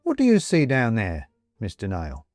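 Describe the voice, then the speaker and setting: A man voicing a jovial, persuasive ghost gentleman in an audiobook, with a British accent and clipped, chummy, old-fashioned upper-class phrasing. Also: lowish voice, wildly swooping pitch, normal speed, no echo, studio quality, soft background music. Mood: brisk, bluff. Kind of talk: audiobook character acting.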